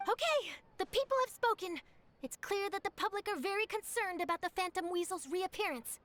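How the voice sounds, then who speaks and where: A woman's voice: very high voice, animated, fairly quick, a bit bright, a little hiss or hum. A woman playing an eager young female newspaper journalist in a video game, telling things theatrically, with music under her voice. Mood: dramatic, determined. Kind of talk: animated game voice acting.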